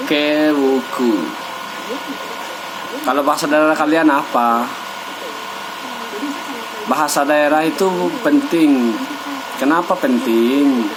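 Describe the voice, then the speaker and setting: A young man from Sabu island in eastern Indonesia, slowly teaching words of his local language to camera and repeating each one. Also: fairly high pitch, crowd noise, poor audio. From Sabu island, East Nusa Tenggara, Indonesia. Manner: slow, deliberate, pointing at his face and repeating words. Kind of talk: casual to-camera language lesson.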